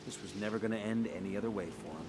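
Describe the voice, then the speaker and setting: An actor playing a cool, sardonic special forces soldier with dry, understated talk in a video game. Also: male voice, lowish voice, very fast, vocal fry, noisy background. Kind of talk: video game squad dialogue.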